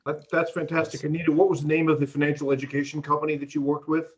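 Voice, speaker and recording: A middle-aged American man answering questions about his career in a relaxed, plain-spoken way on a video call. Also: on the high side, fast talker. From United States. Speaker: guest.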